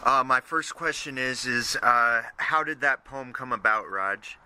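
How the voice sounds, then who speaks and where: A man hosting a podcast in a casual, halting way, introducing material and asking questions with hesitant phrasing. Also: medium pitch, bright, forceful tone, a little hiss or hum. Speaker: podcast host.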